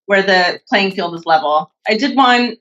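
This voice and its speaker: A young woman from California talks casually, her bright, forceful tone peppered with fillers and little restarts. The podcast audio is dull and somewhat lo-fi. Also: medium pitch, lively intonation, moderate pace. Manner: chatty, many fillers and restarts. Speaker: podcast guest, natural bodybuilder. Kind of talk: casual interview chat.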